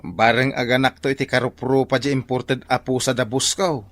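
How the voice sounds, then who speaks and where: A man's voice: medium pitch, little pitch movement, normal speed, a bit bright, dry recording, natural voice. An actor playing a mild, simple village man in an Ilocano radio drama, speaking hesitantly. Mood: friendly.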